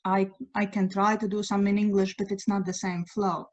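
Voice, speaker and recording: A middle-aged woman with a Latvian accent talks at a medium pitch, chatting in a second language that she speaks with a halting rhythm as she hunts for words. The sound is muffled and lo-fi, as on a home video call. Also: level tone. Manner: halting, searches for English words. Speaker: guest writer sharing news. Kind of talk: casual chat on a video call. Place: at home by a window at night. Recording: dull lo-fi video call audio.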